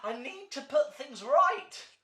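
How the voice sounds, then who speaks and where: A man voicing an eager, excitable young inventor from a storybook, exclaiming in gasps and cheers in an echoing room. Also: very high-pitched, slow, voice pushed up, heavily acted. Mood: alarmed. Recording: roomy, echoing sound.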